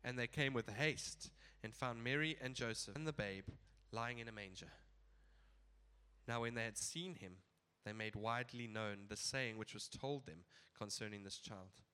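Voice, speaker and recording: Male preacher with a head cold, nasal and bright, chatty with laughing asides. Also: medium pitch, normal speed, smooth. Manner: casual, chuckling asides. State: stuffy blocked nose. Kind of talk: sermon.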